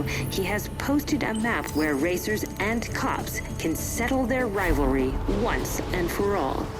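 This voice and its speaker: An actress playing a serious TV news reporter in a video game, speaking in a formal, measured broadcast style. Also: female voice, lowish voice, lightly acted, rough recording.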